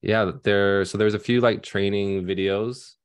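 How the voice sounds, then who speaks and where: A man's voice: fairly low pitch, fairly even intonation, a little slow, smooth. A man in his thirties, a financial advisor on a video call panel, talking casually with many fillers and restarts. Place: home room at night. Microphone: headset on video call.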